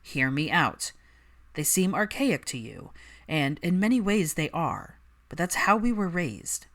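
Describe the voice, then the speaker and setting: Woman voicing a composed, wry wizard gentleman, expressive intonation. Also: sits low, heavily acted. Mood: measured, serious. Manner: smooth, measured, dry. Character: composed, wry, probing man of old wizard family. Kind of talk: acted audiobook dialogue.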